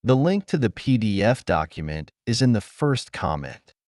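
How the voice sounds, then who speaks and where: Lively-voiced man asking exam questions. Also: lowish voice, dry recording, studio quality. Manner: formal, measured questioning. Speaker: examiner and channel host.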